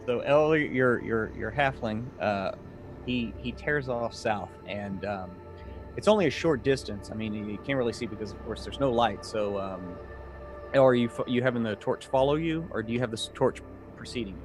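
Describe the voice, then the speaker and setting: A man running a tabletop game, improvising descriptions in a halting, conversational, explanatory way. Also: mid-range voice, music in the background. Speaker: game master.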